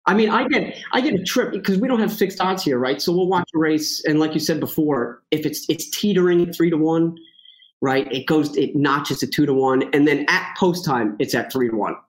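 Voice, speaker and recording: Man, podcast guest, casual, rambling, fillers, slightly high voice. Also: moderate pace, poor audio. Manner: rambling, lots of fillers and restarts, self-joking. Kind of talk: casual chat.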